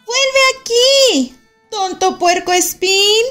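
A woman voicing a lively young farm girl who calls out brightly and teases. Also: very high voice, drawn-out words, faint music behind, voice pushed up, exaggerated voice. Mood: teasing. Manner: bright, teasing, raised.

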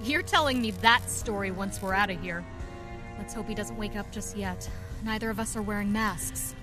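An actress playing a practical, caring masked woman vigilante in an audio drama, measured and lightly wry. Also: mid-range voice, music in the background, lightly acted. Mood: wry, curious.

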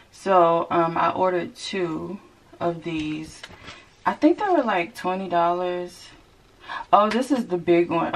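A woman's voice: on the low side, gravelly, poor audio. A woman in her thirties chatting casually to viewers as she shows clothes in her closet, in a rush and calling them y'all. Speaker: vlogger. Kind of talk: casual clothing haul chat. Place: walk-in closet at home. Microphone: camera set up across the closet. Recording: hissy home video.

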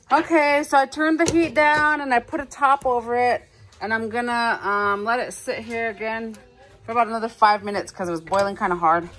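A woman's voice: on the high side, a bit bright. Chatty woman narrating her home cooking. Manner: chatty, self-narrating, filler-heavy, apologetic. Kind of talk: casual cook-along chat. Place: home kitchen, indoors.

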